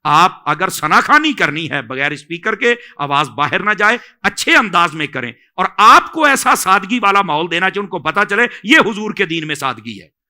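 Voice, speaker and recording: A man with a Pakistani accent and a forceful, sharply bright voice on the high side. His pitch moves animatedly as he gives religious guidance, exhorting and repeating key phrases. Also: sing-song, normal speed. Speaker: Islamic scholar answering questions. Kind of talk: religious question-and-answer, admonishing.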